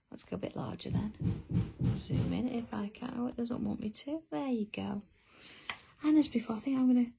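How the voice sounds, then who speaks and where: A woman's voice: medium pitch, vocal fry, mellow, a little hiss or hum. A middle-aged woman, hosting a craft livestream in casual chat and answering viewer comments as she works. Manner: chatty, reads out viewer comments while working.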